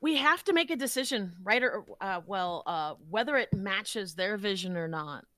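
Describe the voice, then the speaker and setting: A woman's voice: mid-range voice, lively intonation, normal speed, pushed and bright, no echo. A woman speaking as an interview guest, explaining her craft conversationally and thinking aloud as she goes. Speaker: voice actor, podcast guest.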